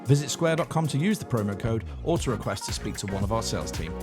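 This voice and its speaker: A man hosting a podcast, chatty and energetic, laughing often as he interviews a guest. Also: on the low side, quick pace, music in the background. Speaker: podcast host. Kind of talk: casual interview.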